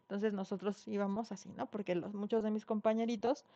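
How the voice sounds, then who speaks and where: A woman's voice: medium pitch, level tone, a little fast, mellow, no room sound. A middle-aged woman from Mexico City with a Mexican Spanish accent, giving an online university lecture in a conversational way, full of fillers and tag questions. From Mexico City (Xochimilco). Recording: dull dry online class audio.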